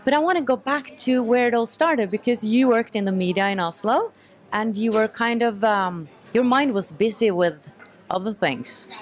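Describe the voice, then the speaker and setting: A woman's voice: a little high, normal speed. Upbeat woman hosting an interview. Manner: upbeat welcoming, leading questions. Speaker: interview host. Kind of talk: hosted interview. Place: cafe.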